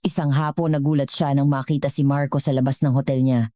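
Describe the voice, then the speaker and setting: Low, mellow woman narrating a drama. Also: flat delivery, quick pace, dry recording, clean studio sound. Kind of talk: scripted dramatic story narration.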